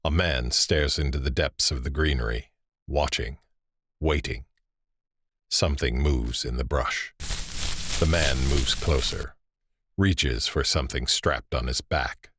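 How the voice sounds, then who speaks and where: A man narrating a scripted audio drama with deliberate, suspenseful storytelling. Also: rumbling low, noisy background.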